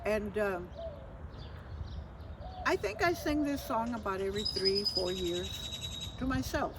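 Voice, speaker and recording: A woman's voice: medium pitch, expressive, takes it slowly. An older woman telling personal stories informally, reflective and hesitant, mixing in Spanish phrases. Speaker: storyteller. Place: outdoors. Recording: distant mic, loud outdoor ambient noise.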